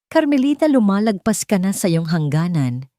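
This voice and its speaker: A woman's voice: mid-range voice, sing-song, normal speed, warm tone, dry recording, studio quality, pitched above the performer's own voice, close to an everyday voice. Woman as a stern, commanding older housekeeper. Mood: stern, scolding. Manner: hard, commanding. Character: stern, authoritative older female head housekeeper.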